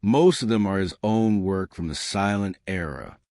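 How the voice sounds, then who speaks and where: A man voicing a reserved, wistful woman in a story, speaking in a measured, matter-of-fact way. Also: on the low side, expressive, darkish tone, no room sound, studio quality. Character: reserved woman explaining her obsessive brother, wistful.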